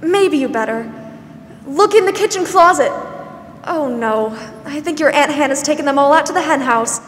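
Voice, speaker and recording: A young woman playing a warm, pleasant, fussing woman of sixty in a 1940s-style radio drama on stage. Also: high-pitched, animated, normal speed. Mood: warm, practical. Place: large theatre stage, indoors. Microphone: stand microphone, masked. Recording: echoey stage hall, faint noise.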